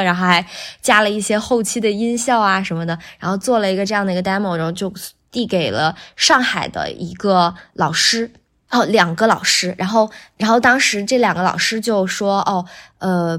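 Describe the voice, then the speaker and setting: A woman chatting casually as a guest, telling about her work as a voice actor with animated storytelling. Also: medium pitch. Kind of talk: casual interview chat, recounting a career.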